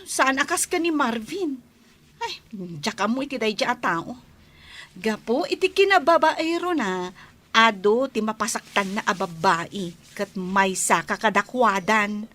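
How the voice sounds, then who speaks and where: Woman playing ilocano bestie in radio drama, bright high voice, drags words out, full of advice. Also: expressive, a little slow. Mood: cautioning. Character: animated, advice-giving female best friend. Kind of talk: Filipino radio comedy drama acting.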